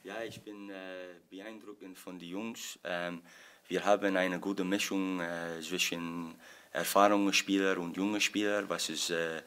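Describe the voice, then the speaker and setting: Man, Scottish accent, English native speaker, halting German, low voice, flat delivery, press conference. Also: clean tone. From Scotland. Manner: halting German with English slips and many fillers. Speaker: assistant football coach.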